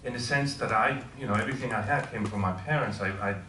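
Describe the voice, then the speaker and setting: A man's voice: medium pitch, fast, pushed and bright, far from the mic, slight background noise. A middle-aged man from Switzerland, a professor, giving an informal talk and asking the audience questions. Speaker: guest speaker. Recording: echoey room, voice heard from afar.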